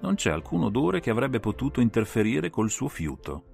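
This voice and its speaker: A man voicing an astute, composed gentleman detective in a dramatized audiobook, speaking formally and decisively. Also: medium pitch, sing-song, moderate pace, creaky, faint music behind.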